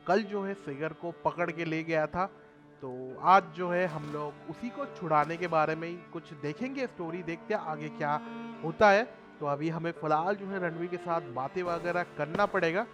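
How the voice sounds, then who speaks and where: Fast-talking Indian man with a sore throat. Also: on the high side. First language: Hindi. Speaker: gaming YouTuber. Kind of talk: casual gameplay commentary. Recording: game music behind the voice. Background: video game music and dialogue.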